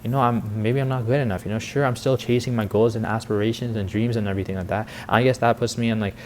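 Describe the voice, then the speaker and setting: A man's voice: on the low side, fairly even intonation, fast talker, a little hiss or hum. An eighteen-year-old man rambling in a casual, slangy, confessional vlog monologue, full of filler words. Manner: rambling, heavy youth slang with frequent "like" and "bro". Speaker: vlogger and content creator.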